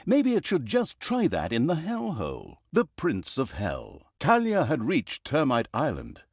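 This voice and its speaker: An actor playing a sardonic, theatrical storyteller, narrating a comic fantasy video game in a wry, mock-grand way. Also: male voice, on the high side, no echo, clean studio sound, heavily acted.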